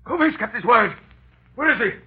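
An actor playing an anxious, bewildered doctor in an old radio thriller, halting and astonished in his dramatic delivery. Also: extremely high pitch, animated, a little hiss or hum. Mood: shocked. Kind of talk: 1930s-40s radio thriller acting. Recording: dull, lo-fi old radio recording.

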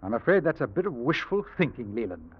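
Haughty suitor with lively pitch. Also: male voice, fast, husky. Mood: haughty, indignant. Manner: supercilious, polished, condescending. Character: smooth-talking, haughty male suitor lying to police. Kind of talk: 1940s radio acting. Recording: muffled vintage radio recording.

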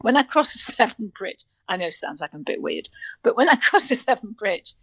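A woman's voice: very animated, a little fast, a bit bright, no echo. An older woman from North Wales, chatting as a guest and telling stories with lots of laughter. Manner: bubbly storyteller, laughs often and breaks into high squeals. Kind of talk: casual chat.

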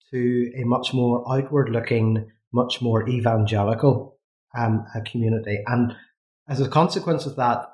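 A man with a Northern Irish accent, a historian as an interview guest, speaking in a measured, thoughtful way with frequent ums. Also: medium pitch, darkish tone. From Northern Ireland. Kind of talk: academic interview. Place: university office. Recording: roomy echo.

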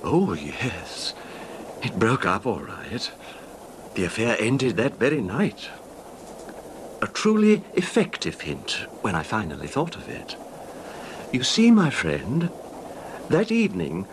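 A meek betrayed husband in a radio play, confessing with understated, genteel menace. His hesitant telling rises and falls expressively over clearly audible background noise. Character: meek, quietly vengeful cuckolded husband confessing a murder. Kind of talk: old-time radio drama acting. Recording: noisy vintage radio drama, rumbling background.

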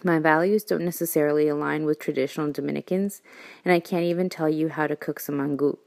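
A woman's voice: sits low, steady tone, quick pace, vocal fry, a little mellow. A young woman from New York, a native Spanish speaker, earnestly reading her listener letter aloud. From New York, USA.

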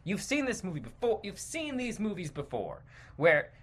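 Man, podcast host, very lively swooping intonation, sardonic, exasperated, rambling commentary, emphatic stop-and-start phrasing. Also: sits high, normal speed, slightly rough. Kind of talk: rambling opinion rant, movie commentary.

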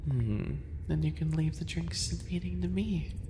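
A man voicing a composed, sadistic animal-boy cafe maid and cook in a roleplay ASMR, measured and softly menacing. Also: on the high side, natural voice. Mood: sly menace. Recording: reverberant, noticeable background noise.

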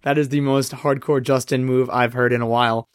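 A hoarse young man hosting a podcast, chatting in an upbeat, easygoing way. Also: mid-range voice, flat delivery, fairly quick, dry recording, clean studio sound. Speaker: podcast host. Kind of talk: casual chat and read ad.

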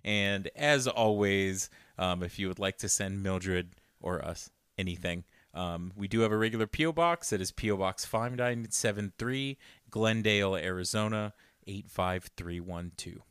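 A man's voice: lowish voice, moderate pace, fairly bright tone, clear, resonant tone, no room sound, clean studio sound. A man co-hosting a podcast in casual chat, laid-back and agreeable, often answering in short replies. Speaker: podcast co-host.

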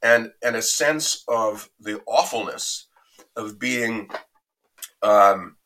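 A man answering an interview question in a reflective, anecdotal way, measured and conversational. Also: mid-range voice, fairly bright tone, poor audio. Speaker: interview guest recounting a reporting trip.

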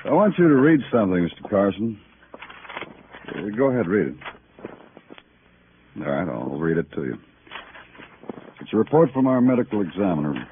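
An actor playing a relentless police detective with a measured, insinuating, coolly polite manner, in 1950s radio crime drama. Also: male voice, on the low side, very animated. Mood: coolly pressing. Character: quiet, relentless male police detective interrogating suspects. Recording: tinny, noisy old radio recording.

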